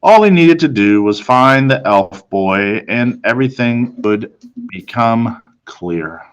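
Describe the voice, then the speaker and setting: A man reading a children's storybook aloud in a dramatic manner, with deliberate pauses. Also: medium pitch, lively intonation, clean tone, poor audio. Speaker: storybook reader.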